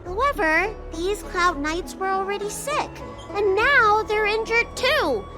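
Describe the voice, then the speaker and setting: A woman's voice: extremely high pitch, a little slow, over music. A woman voicing a small, childlike female doctor in a video game, precocious, self-assured and easily exasperated. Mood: exasperated, brisk.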